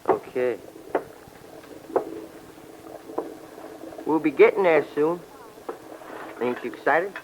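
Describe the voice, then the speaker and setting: An actor playing a cagey crook in an old radio drama, talking in a casual, slangy and slightly pushy way. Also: male voice, a little high, expressive, unhurried. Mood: scheming, pushy. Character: cagey, slangy male crook on the run, scheming. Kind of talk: 1940s-50s radio drama acting. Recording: muffled, noisy old radio recording.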